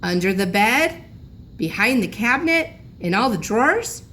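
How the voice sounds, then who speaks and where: A woman's voice: a little high, very animated, normal speed, fairly bright tone. A woman voicing a concerned mother in a children's storybook, with a raised, questioning delivery. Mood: worried questioning. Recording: noisy room recording.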